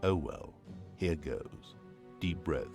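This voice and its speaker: A man's voice: very deep voice, slow pace, a little mellow. A man giving wry, mock-grandiose, theatrical narration for a comedic fantasy game. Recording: music behind the voice. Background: music.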